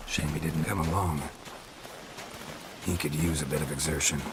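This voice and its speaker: An actor playing a seasoned monster hunter and fatherly mentor in a video game, gruff and laconic with dry understatement. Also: extremely low pitch, steady tone, moderate pace, heavy background noise. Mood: wry, calm. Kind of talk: cinematic video game acting.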